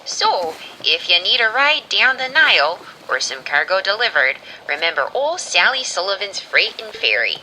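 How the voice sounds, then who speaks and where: A woman playing a brash, showy steamboat owner pitching her business in a radio drama sponsor spot. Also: big pitch swings, moderate pace, hardly any pauses, sharp tone, slight background noise, poor audio. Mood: brash, cheery.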